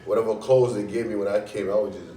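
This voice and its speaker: A man's voice: lowish voice, fairly even intonation, fast, warm tone. A man in his thirties from Liberia, a guest telling his life story casually and laughing often. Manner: laughs often, lots of "you know what I'm saying". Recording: roomy, distant mic, faint noise.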